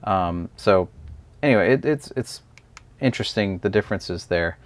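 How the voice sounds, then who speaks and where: Low-voiced man reviewing gadgets. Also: normal speed, no room sound. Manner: hesitant, unscripted, explanatory. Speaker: product reviewer. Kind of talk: casual hands-on gadget review.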